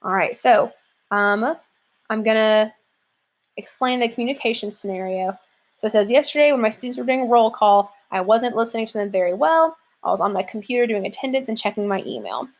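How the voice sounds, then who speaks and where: A woman's voice: a little high, animated, a little fast. Chatty woman teacher explaining an assignment. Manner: casual, chatty, many "like" and "um" fillers. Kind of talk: classroom lesson walkthrough.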